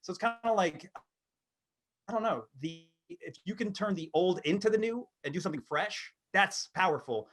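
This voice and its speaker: A man in his thirties co-hosting a podcast in casual chat, rambling and halting as he talks. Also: high voice, animated, a bit bright, a little hiss or hum. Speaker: podcast co-host.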